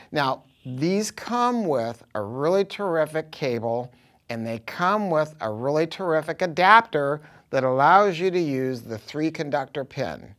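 A man's voice: fairly high pitch, fairly bright tone. An American man in his sixties presenting audio gear to camera in a blunt, casual, emphatic way. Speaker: audio dealer presenting products. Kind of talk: casual promotional product talk to camera. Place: small acoustically treated listening room.

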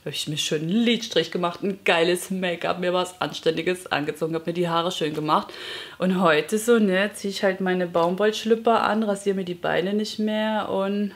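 A woman's voice: lowish voice, steady tone, normal speed. A woman in her thirties chatting casually to camera as a vlogger, self-mocking and gesturing a lot, seated in a living room. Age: 30s. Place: bright living room indoors.

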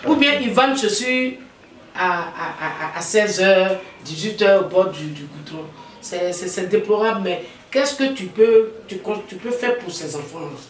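A woman leads the interview with a loud, lively, teasing delivery. Her voice rings with the echo of the veranda. A faint ambience hums behind her. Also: mid-range voice, a little slow, far from the mic, slight background noise. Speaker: interviewer host. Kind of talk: casual interview. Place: outdoor veranda.

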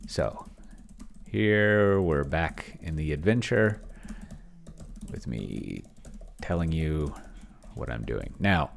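A man's voice: extremely low pitch, vocal fry, a little hiss or hum. A middle-aged man giving casual livestream commentary, rambling and thinking aloud as he types. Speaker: solo role-playing streamer. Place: small cluttered home room, indoors.

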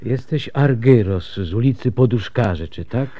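An actor playing a weary, fatalistic city medic, measured, formal and calm, in a Polish radio drama. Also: male voice, medium pitch, muffled recording, lightly acted. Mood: calm, resigned.

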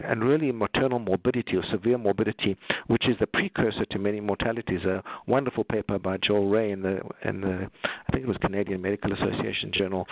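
A middle-aged man with a South African accent presents to a remote audience and talks slightly low. He hesitates often, restarting phrases and filling gaps with ums. The audio is dry and narrow, like a phone line. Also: no echo. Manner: halting, many fillers and restarts. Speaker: obstetrician presenting on a webinar. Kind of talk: unscripted webinar presentation.

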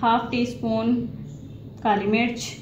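A woman, the host of a cooking channel, calmly narrating a home recipe step by step. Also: fairly high pitch, slow pace, background noise. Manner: plain step-by-step instructions. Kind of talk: recipe voice-over. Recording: loud background music.

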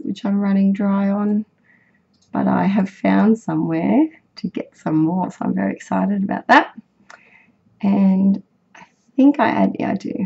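Croaky-voiced young woman chatting. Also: fairly low pitch, dark tone. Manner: chatty, rambling, with fillers and self-corrections. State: croaky, sick voice. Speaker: scrapbooking vlogger. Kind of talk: casual voice-over narration of a craft process. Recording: dull lo-fi home recording.

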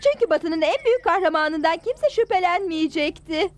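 A woman's voice: extremely high pitch, lively intonation, moderate pace. A woman voicing a feisty, melodramatic young heiress in distress in a dubbed cartoon. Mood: indignant. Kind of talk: Turkish-dubbed cartoon acting. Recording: loud music under the voice.

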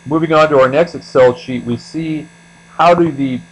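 A man giving an explanatory screencast lecture as an instructor, speaking deliberately and letting the ends of phrases trail off. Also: fairly high pitch, low-quality recording. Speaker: accounting instructor. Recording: steady mains hum behind voice.

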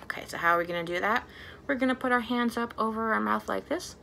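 A woman in her thirties leading a storytime for young children as a librarian, talking in an animated way with simple, exaggerated phrasing. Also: on the high side, fast talker, gravelly, a little hiss or hum. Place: small plain indoor room.

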